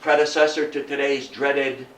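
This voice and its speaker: An older man giving a public history lecture in a theatrical, emphatic storytelling manner, with dramatic pauses and rhetorical questions. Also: mid-range voice, level tone, fairly bright tone. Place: indoor talk room before an audience. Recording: roomy, distant mic, faint noise.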